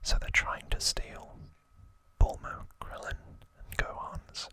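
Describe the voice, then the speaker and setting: Slow whispering ASMR man. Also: pushed and bright. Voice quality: breathy, unvoiced whisper. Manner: slow, halting, casual explaining. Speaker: ASMR host. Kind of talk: whispered ASMR, unscripted story recap.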